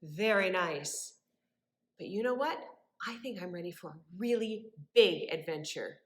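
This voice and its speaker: A woman leading story time for young children as a librarian, with animated wonder and a sing-song, encouraging way of talking. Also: on the low side, measured pace. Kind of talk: children's story time with rhymes, questions and songs. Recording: echoey room.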